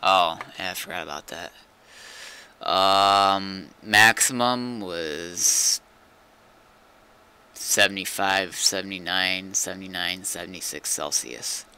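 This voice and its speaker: A man's voice: deep voice, slow pace, bright, forceful tone, clean tone. A man streaming a game, chatting casually in a laconic, hesitant way. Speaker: gamer streaming his play. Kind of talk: casual gaming chat.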